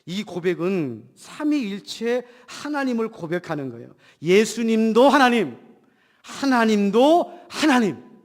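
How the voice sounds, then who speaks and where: A male pastor preaches in a big reverberant church. His voice sits high for a man and swings widely from emphatic declarations down to hushed asides. He has a cold and speaks in rhetorical questions to his congregation. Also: sing-song, unhurried. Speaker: pastor preaching a Sunday sermon. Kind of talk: Protestant church sermon. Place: church hall during a service. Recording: long hall reverb in church.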